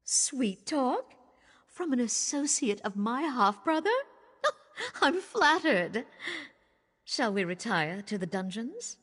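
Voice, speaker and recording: A woman voicing a scornful, cruelly seductive usurper queen in a video game, husky, imperious and disdainful. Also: a little high, sing-song, slow, long pauses, fairly bright tone, heavily acted. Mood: mocking, seductive. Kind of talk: video game character acting. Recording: long reverb of a large hall.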